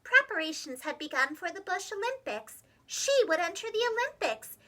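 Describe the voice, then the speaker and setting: Very high, animated woman storyteller. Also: normal speed. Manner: animated, dramatic, gentle enunciation for young children. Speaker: preschool teacher reading stories aloud. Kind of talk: expressive children's storybook narration.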